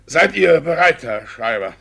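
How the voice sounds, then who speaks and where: A shifty village judge in a classical radio play. His voice is bright and forceful with a gravelly, husky grain, swinging widely in pitch as he stammers, evades and blusters pompously. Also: big pitch swings, measured pace, heavily acted. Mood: blustering, bossy. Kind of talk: classical verse radio drama.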